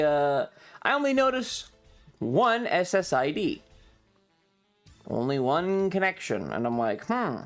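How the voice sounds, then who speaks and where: Streamer rambling slowly, sweary and fed up, kinda halting, faint music behind. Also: medium pitch. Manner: exasperated, sweary ranting. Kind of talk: casual rambling stream chat, personal anecdote.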